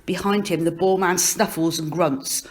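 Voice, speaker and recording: A woman reading a children's story aloud as expressive narration, in an animated storytelling manner. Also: lowish voice, normal speed.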